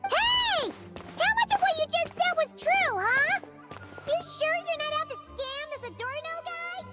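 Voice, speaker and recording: A woman's voice: extremely high pitch, lively intonation, a little slow, sharp tone, faint music behind, full character voice. A woman voicing a small, childlike, skeptical fairy companion with a cute, questioning manner in an anime game. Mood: suspicious.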